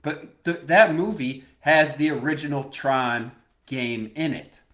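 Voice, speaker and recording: Excitable man arguing on a podcast. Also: fairly high pitch, slow pace. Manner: excitable, argumentative, laughing. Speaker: podcast co-host. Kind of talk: casual chat.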